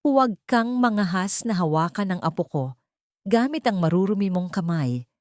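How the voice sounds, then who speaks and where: A woman's voice: medium pitch, expressive, normal speed, darkish tone, dry recording, clean studio sound, natural voice. A woman voicing a haughty, threatening older mother-in-law in an audio drama. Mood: cold contempt. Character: cold, menacing older rich mother-in-law matriarch.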